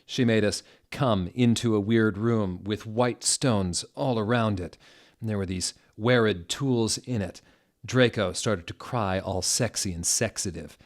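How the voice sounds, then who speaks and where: A man giving a mock-serious dramatic reading aloud, deliberate and theatrical, with literal attention to every odd word. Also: lowish voice. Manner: deliberate, theatrical, reads typos and punctuation out literally. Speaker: dramatic reader of fan fiction.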